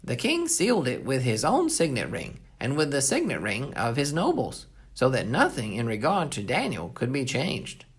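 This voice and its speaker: This is a children's storyteller reading scripture aloud with great expression. The voice swings widely up and down and has a husky, crackly texture. The delivery is animated and geared to young listeners. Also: mid-range voice, normal speed, few pauses. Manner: animated, explains hard words simply. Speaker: Bible story narrator for a children's program.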